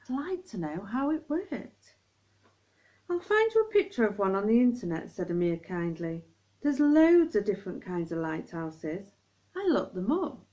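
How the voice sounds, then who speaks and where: Lively woman reading a children's story. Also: mid-range voice, moderate pace, muffled recording. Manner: acts out dialogue with lively emphasis. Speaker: teacher reading aloud. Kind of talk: expressive children's audiobook-style reading.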